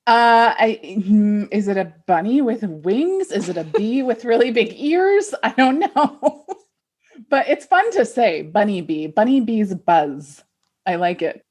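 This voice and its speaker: A middle-aged woman co-hosting a podcast in casual chat, with a husky voice and an easy readiness to laugh. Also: fairly high pitch, sing-song, measured pace, a little mellow. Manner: laughs readily while talking. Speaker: podcast co-host.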